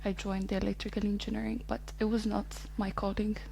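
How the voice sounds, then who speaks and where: A woman's voice: medium pitch, flat delivery, fast, creaky, warm tone, a little hiss or hum, low-quality recording. Easygoing woman in her twenties. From Ethiopia. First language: Amharic. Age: 20s. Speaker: podcast guest. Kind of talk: casual interview chat.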